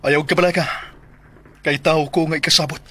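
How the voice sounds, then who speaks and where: A man's voice: fairly high pitch, fairly even intonation, moderate pace, a bit bright. An actor playing a firm, defiant young village man in a radio drama. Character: defiant young village man bent on ending an overlord's evil. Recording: music behind.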